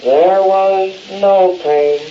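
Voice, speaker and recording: An actor, a man, playing a solemn spirit of the dead at a seance, speaking in a measured trance in 1940s radio style. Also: sits high, steady tone. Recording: muffled, noisy old radio broadcast.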